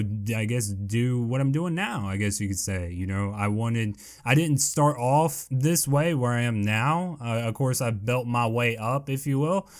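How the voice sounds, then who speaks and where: A young man with a deep voice answering viewer questions in a casual, rambling way, full of fillers. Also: darkish tone. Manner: rambling, heavy with fillers and "I guess you could say". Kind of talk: casual Q&A chat.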